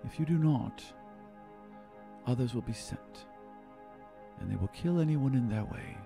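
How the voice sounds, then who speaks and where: A man acts out a gentle fantasy father, heavy with sorrow. He speaks in halting, solemn phrases with wide swings of pitch, over a music bed, in a tabletop roleplaying game. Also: lively intonation, gravelly. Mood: grave, sad. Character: gentle, sorrowful elven father revealing a painful secret.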